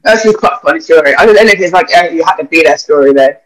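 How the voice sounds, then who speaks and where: A young man with a notably high voice tells loose, rambling stories, dropping swear words and filler words as he goes. He is a vet chatting casually on a podcast. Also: fast talker, rough recording.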